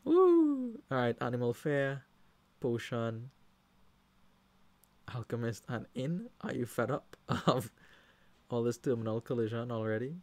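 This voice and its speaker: A man's voice: medium pitch, a little slow, pauses often. A man with a Trinidadian accent giving live commentary on a card game, talking to the players and cheering at big plays. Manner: animated, talks to the players, shouts when excited.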